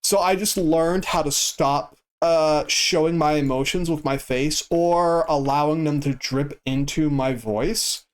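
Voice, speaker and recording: A man streaming a game who chats casually in a flat, stoic way and swears freely. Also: a little high, unhurried, few pauses, clear, resonant tone. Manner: monotone and stoic delivery. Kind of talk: casual chat while gaming.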